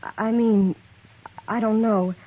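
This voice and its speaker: A woman's voice: a little high, fairly even intonation, slow pace. An actress playing a distressed young frontier woman in a 1950s radio western, hesitant, anxious and polite. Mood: pleading. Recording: tinny, band-limited old radio recording.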